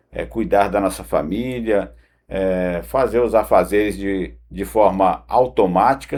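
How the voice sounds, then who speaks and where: Male devotional host, deep register with some rasp, earnest conversational delivery straight to the listener. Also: darkish tone. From Pará, northern Brazil. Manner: earnest, direct address with frequent "né" tags. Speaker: host of a morning devotional, former broadcaster. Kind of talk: conversational morning devotional talk. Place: home studio.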